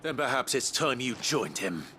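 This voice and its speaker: A man's voice: medium pitch, big pitch swings, moderate pace, very noisy, natural voice. An actor playing a brooding exiled nobleman warrior, terse and grave, in a fantasy video game. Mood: menacing. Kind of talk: dramatic video game cutscene acting.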